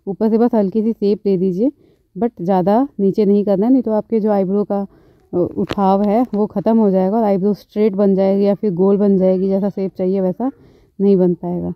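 Woman beautician, calm step-by-step tutorial voice, dry dull phone-recorded audio. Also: mid-range voice, moderate pace, no room sound. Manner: calm, instructive, repeats phrases. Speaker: beautician, channel host.

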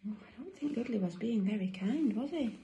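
A middle-aged woman, reading a picture book to young children as a teacher, pausing to ask them questions. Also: a little high, lo-fi sound, a little hiss or hum. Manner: slow, expressive delivery for young children. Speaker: infant school teacher reading a bedtime story. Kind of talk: children's storybook read-aloud with questions to listeners. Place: small domestic room indoors. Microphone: phone or tablet an arm's length or more away.

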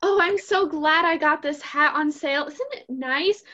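A woman's voice: sits high, normal speed, sharp tone. A young woman playing an eager, naive lady friend in a gushing, girlish stage comedy manner. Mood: chirpy, curious. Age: young adult. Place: indoors at home, video call. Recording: muffled video-call audio.

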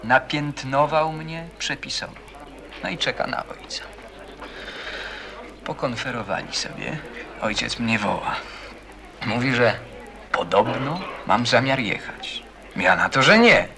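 A man's voice: mid-range voice, lively intonation, unhurried, gravelly, long pauses. A man playing a bitter, defensive teenage schoolboy in a radio play, defiant, sarcastic and colloquial. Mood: wry storytelling. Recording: busy chatter of people behind. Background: crowd chatter, as in a café.

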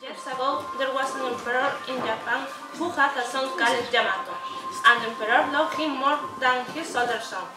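A woman's voice: high-pitched, fairly quick. A young woman reading scripted narration aloud for a puppet show in a room. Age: teenager or young adult. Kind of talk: scripted story narration, read from paper. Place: indoor room beside a puppet theatre. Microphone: far camera. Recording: echoey room, far camera, music behind.

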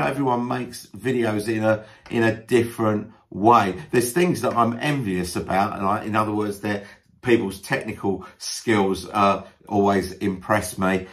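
A man with a fairly low voice speaks casually, as if answering questions for his own video channel. He is self-deprecating and jokey, and he fills his thinking time with frequent ums and uhs. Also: fairly bright tone. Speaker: YouTube video creator. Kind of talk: casual talk to camera, answering a list of questions.

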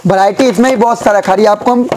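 A man gives a shopkeeper's sales pitch at a rapid clip. His voice sits high, and he keeps repeating the prices and the variety on offer, with a faint hum of noise behind him. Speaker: wholesale shopkeeper. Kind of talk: sales pitch showing goods. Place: market shop indoors.

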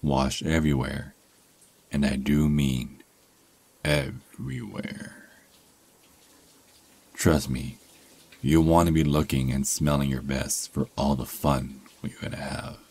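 A man playing a doting, possessive vampire lover in an intimate ASMR roleplay, murmuring softly and coaxingly. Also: a bass voice, steady tone, a little slow. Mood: playful, attentive.

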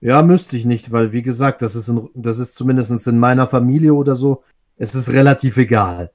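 A man talks through his views in a relaxed online discussion. He is thoughtful and often starts sentences over, at a middling pitch. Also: a little fast. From Russia. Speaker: discussion guest. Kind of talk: casual group chat.

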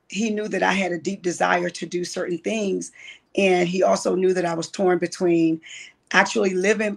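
A woman's voice: lowish voice, creaky. A woman in her late fifties, a guest on an interview show, telling her life story in a candid, faith-filled way. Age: 50s. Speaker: guest, writer and producer. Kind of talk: casual interview, testimony.

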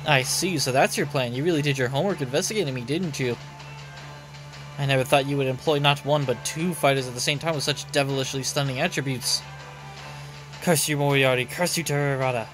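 A man's voice: medium pitch, brisk, music in the background, lightly acted. A man voicing a vain, grandiose male knight from a game, full of drama. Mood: dramatic, aggrieved.

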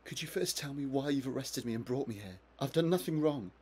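A man's voice: medium pitch, animated, brisk, a little mellow, breathy edge. An actor playing a distressed, confused man in his twenties in a video game, agitated and defensive. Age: 20s. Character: distressed, confused young man suspected by police. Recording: noisy with background ambience.

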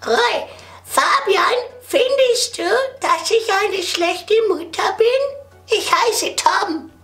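A man voicing a small, silly, naive childlike prankster with a squeaky voice and hesitant, drawn-out words on a prank call. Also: sharp tone, soft background music, heavily acted. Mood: playful. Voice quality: squeaky, high-pitched. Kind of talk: improvised prank phone call.

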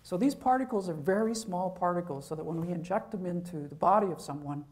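A man's voice: high voice, quick pace, a little mellow. A man, a professor, giving an informal university lecture, talking conversationally and checking in with "okay?".

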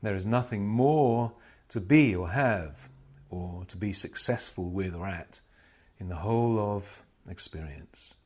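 A man leading a guided spiritual meditation as a teacher, speaking solemnly in short, measured phrases with pauses. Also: low-pitched, darkish tone.

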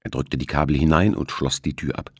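A man's voice: a bass voice, fairly even intonation, a little fast, slightly rough, no room sound, clean studio sound. A man reading a novel aloud as a scripted audiobook narration.

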